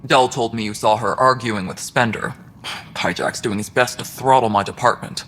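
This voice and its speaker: Male actor as an earnest engineering chief, mid-pitched and concerned, faint game noise. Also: fairly even intonation, moderate pace, fairly bright tone, lightly acted. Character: male station engineering chief, worried and protective.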